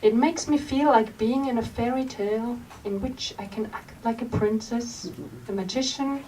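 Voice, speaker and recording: Softly reading woman, distant mic. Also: on the high side. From Switzerland. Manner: gentle, unhurried storytelling. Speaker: author. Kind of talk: reading aloud from her memoir. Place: bookstore signing event. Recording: distant mic with faint room noise.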